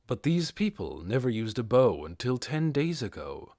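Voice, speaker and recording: A man voicing a composed, brooding undead overlord in a measured, commanding manner for a fantasy audiobook. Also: mid-range voice, very animated, a little mellow, dry recording, studio quality.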